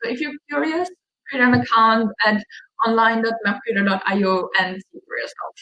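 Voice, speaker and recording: A woman's voice: on the high side, normal speed, pushed and bright, dull audio. A young woman from Austria with an Austrian accent, giving a friendly online presentation about her company's mapping tools. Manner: friendly, explanatory, with fillers. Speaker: customer success manager.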